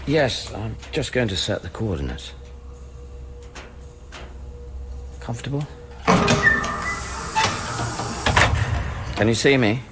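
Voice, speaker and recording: An actor playing a haunted, guilt-ridden scientist on a space station in a radio play, brooding, hesitant and intimate. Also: male voice, medium pitch, lively intonation, fairly quick, noisy background, natural voice. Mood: guarded. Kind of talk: radio play acting and first-person narration. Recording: music under the voice.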